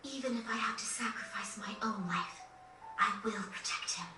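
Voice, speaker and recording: A woman playing an earnest young noblewoman in a video game, speaking in a formal, measured way. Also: mid-range voice, lightly acted. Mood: resolute, sorrowful. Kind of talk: dramatic video game cutscene acting. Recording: echoey, distant, music behind.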